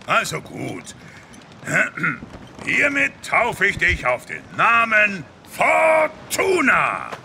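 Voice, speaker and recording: High, creaky comic character. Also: male voice, huge pitch swings, very slow, background noise, exaggerated voice. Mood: festive.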